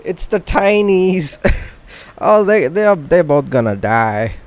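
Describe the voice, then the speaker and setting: A woman's voice: on the low side, sing-song, slow pace, a little mellow. A woman giving casual, informal gameplay commentary, muttering to herself and swearing now and then. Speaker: game streamer.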